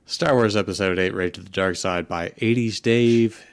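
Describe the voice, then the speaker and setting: Guy hosting casually, wry, creaky low voice. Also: fairly even intonation, moderate pace, dry recording. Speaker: host introducing stories. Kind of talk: casual chat.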